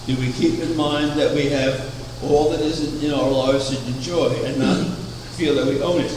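An older man preaching a sermon from a pulpit, measured and anecdotal, asking rhetorical questions. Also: on the high side, steady tone. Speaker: preacher, founder of a religious order. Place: chapel, at a wooden pulpit. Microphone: far camera. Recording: echoey chapel from far off, air conditioning hum.

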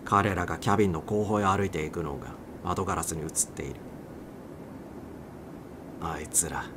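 A man's voice: sits low, quick pace. A man reading a story aloud as a dramatic audiobook narrator. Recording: clear background noise.

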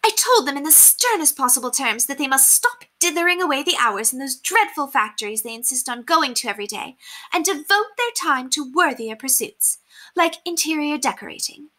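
Storybook lady of the manor: spoiled, pompous, affected, high-pitched, theatrically swooping. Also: animated, a little fast, bright, forceful tone. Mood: self-important. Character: haughty, spoiled, dramatic young lady of the manor.

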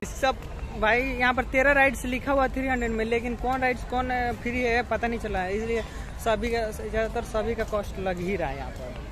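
A man's voice: very high-pitched, moderate pace. A young man gives casual, repetitive walking commentary, chatting informally to viewers outdoors on a handheld camera. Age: young adult. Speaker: travel vlogger. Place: outdoors in a busy amusement park. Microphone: phone or camera at arm's length. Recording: noisy outdoor crowd and vehicle din. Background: crowd chatter, outdoor ambience.

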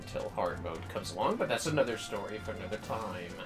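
A man's voice: fairly low pitch, lively intonation, a little fast. Easygoing male streamer over faint music. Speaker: livestreamer. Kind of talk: casual game livestream commentary.

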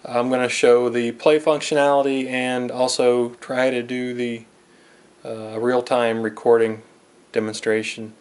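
A man talks through a casual equipment demonstration in an unscripted way. His mid-pitched voice stays quite flat and even. The delivery is hesitant, with frequent pauses as he feels out his next phrase. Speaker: online seller demonstrating equipment.

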